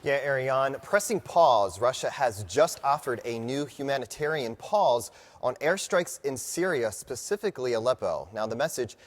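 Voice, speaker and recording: A man's voice: mid-range voice, expressive, normal speed. An American man presenting news alerts and updates on television in a scripted broadcast delivery.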